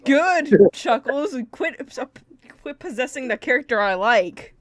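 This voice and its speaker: A woman's voice: high voice, expressive, a little slow, no echo, clean studio sound. A woman livestreaming a game with a friend, chatting casually in a pleading, mock-exasperated, giggly way. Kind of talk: casual chat while gaming.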